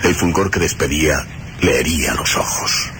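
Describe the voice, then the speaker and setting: Deep, dramatic male suspense narrator. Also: moderate pace. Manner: dramatic, solemn storytelling. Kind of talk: scripted suspense and horror radio narration. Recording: loud storm noise over radio drama. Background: rain and storm ambience.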